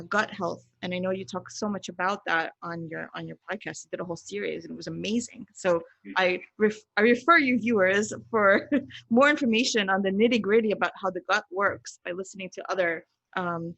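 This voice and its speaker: A woman with a medium-pitched voice, recorded dry with hardly any room sound. She speaks as an interview guest, explaining things earnestly and deliberately and leaning on key words. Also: a bit bright, no echo. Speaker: podcast guest, pediatrician.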